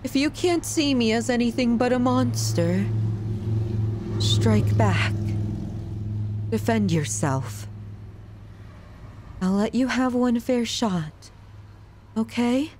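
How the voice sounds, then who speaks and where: Woman acting slow, high-voiced obsessive yandere lover. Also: lively intonation, noisy background. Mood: sombre, resigned. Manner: slow, halting, pleading, intimate delivery. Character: obsessive, possessive yandere lover, tender, menacing and desperate. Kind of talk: dramatic ASMR roleplay addressed to the listener.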